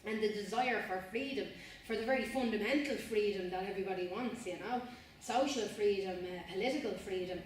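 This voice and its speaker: A woman's voice: medium pitch, a little fast. A young Irish woman speaks earnestly to a student audience, circling back over her words and repeating phrases as she thinks aloud. She is recorded from across the room in a space with heavy echo and a faint background hum. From Ireland. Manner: earnest, rambling, repeats and restarts phrases, says "you know what I mean". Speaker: spoken word artist giving a talk. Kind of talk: informal talk to students. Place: university room, indoors. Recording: distant, very echoey room with faint ambience.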